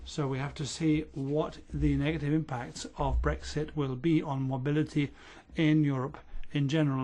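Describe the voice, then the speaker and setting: Man interpreting at a parliament hearing. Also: on the high side. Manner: steady, measured interpreting with uneven pace. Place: parliament committee room.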